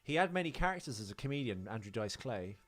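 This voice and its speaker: Male podcast co-host, mid-pitched, casual film geek who rambles and gets sidetracked. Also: sing-song, quick pace, a bit bright, no room sound. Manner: rambling, easily sidetracked storyteller. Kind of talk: casual film trivia chat.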